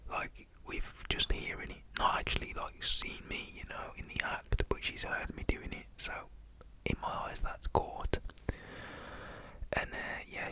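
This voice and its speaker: A young man tells a personal story in a whisper, full of "you know" and "literally". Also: low-quality recording.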